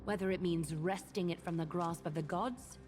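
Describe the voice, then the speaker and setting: A woman's voice: fairly low pitch, moderate pace, lightly acted. A woman voicing a cold, composed female demigod warrior in a video game, formal and poetic in delivery. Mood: icy defiance. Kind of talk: scripted video game acting. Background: game soundtrack music.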